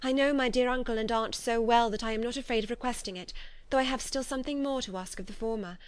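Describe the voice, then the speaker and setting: A woman reading an anxious letter from a gentle young woman in a soft, measured, earnest way. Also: a little high, smooth, no echo, close to an everyday voice.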